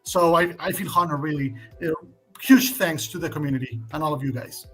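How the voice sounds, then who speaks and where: A Mexican man with a Spanish accent answers as a guest on a livestream, thinking aloud with plenty of fillers. His voice sits a bit high for a man and has a bright, forceful edge. Faint music plays behind him. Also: a little fast. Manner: opinionated, hesitant with frequent fillers. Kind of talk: casual stream Q&A.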